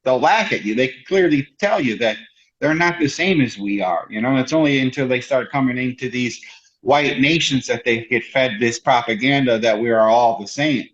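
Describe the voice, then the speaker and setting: A man, a guest in a casual chat, who talks haltingly with many restarts and "you know"s. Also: mid-range voice, fairly bright tone. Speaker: podcast guest. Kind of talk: casual conversation. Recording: dull lo-fi sound.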